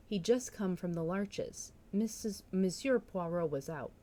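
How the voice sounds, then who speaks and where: A woman voicing the nosy, insistent sister of a village doctor in a storybook reading, brisk and pressing. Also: on the low side, expressive, mellow. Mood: eager. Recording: noticeable background noise.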